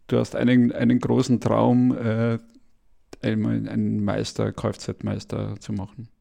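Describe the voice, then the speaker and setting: A man's voice: lowish voice, monotone, measured pace, vocal fry, mellow. A man hosting a podcast interview, asking questions hesitantly with many fillers. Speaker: podcast host.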